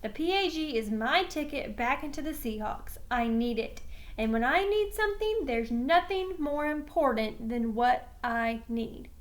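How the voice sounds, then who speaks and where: Woman playing a cocky high-voiced teen jock boy. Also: lively intonation, unhurried. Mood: menacing, insistent. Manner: boastful, talks of himself in third person. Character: cocky, pushy eighth-grade boy football jock. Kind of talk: storybook character voice.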